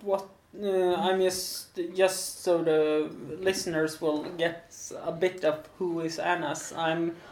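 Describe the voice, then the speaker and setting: Slow-talking Swedish-accented woman host. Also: on the low side, flat delivery, a little mellow, reverberant, a little hiss or hum. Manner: hesitant, many fillers, laughs often. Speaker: podcast host. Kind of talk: casual interview chat.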